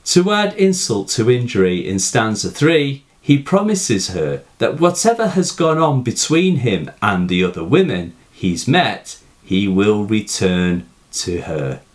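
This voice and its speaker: A man giving a scripted, explanatory poetry lesson in a measured, formal manner. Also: fairly low pitch, very animated. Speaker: poetry teacher. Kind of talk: scripted explanatory narration of a poem analysis.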